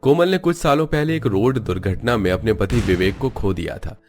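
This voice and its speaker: A man narrates a cartoon tale in a fairly low voice. The delivery is scripted storytelling, told in the third person as a narrator. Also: lively intonation, fairly quick, a little mellow, soft background music.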